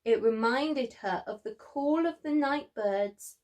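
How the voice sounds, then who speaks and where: Slow, high-voiced woman at story time. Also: darkish tone. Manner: encouraging, addressing small children. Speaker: preschool story-time presenter. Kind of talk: storytelling for young children.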